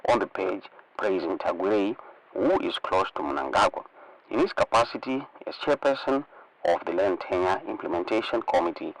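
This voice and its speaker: A man's voice: low-pitched, far from the mic, rough recording. A Zimbabwean man whose native language is Shona, presenting online news commentary and reading reports aloud.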